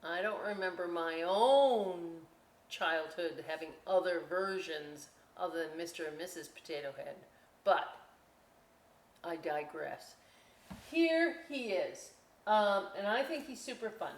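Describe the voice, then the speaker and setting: Chatty woman storytime host, echoey room. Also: lowish voice. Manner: chatty, expressive, addresses children directly. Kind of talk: children's storytime and craft talk.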